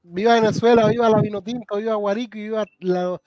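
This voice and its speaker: A middle-aged man with a Cuban accent hosting a casual live stream chat over a video call, lively and warmly addressing his guest. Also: high voice, quick pace, dull audio. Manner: animated, thanks viewers, calls guest gato and hermano. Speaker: host.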